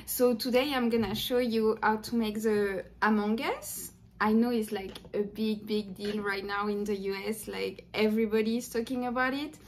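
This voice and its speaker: A woman's voice: medium pitch, steady tone, normal speed, few pauses, slight background noise. A young French woman with a thick French accent, hosting a casual crochet tutorial to camera in a small room, chatty and upbeat with plenty of fillers. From France. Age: young adult. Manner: chatty and upbeat, many "like"s, simple English.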